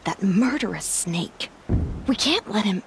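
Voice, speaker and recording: A woman's voice: medium pitch, lively intonation, measured pace, vocal fry, slight background noise. An actress playing an earnest, wronged woman in a video game, with a heartfelt, emotional delivery. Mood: bitter, outraged. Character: earnest, wronged woman, bereaved daughter and sister.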